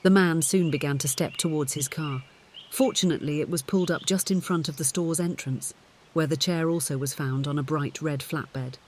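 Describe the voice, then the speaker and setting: A man narrates scripted fiction in a voice pitched a little high. Faint outdoor-like ambience and bird sounds sit behind his audiobook reading. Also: fairly even intonation, normal speed, slight background noise.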